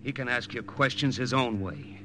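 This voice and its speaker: A man's voice: medium pitch, normal speed, sharp tone, a little hiss or hum, close to an everyday voice. A man acting a tough, sardonic young American agent in an old radio drama, clipped and wry. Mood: coolly menacing. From United States. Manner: hard-boiled, clipped, wry. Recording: old radio broadcast recording, organ music.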